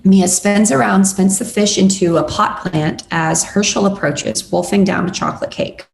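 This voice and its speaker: A woman's voice: mid-range voice, normal speed, gravelly, a little hiss or hum, poor audio. A woman reading screenplay stage directions as scripted narration at a table read.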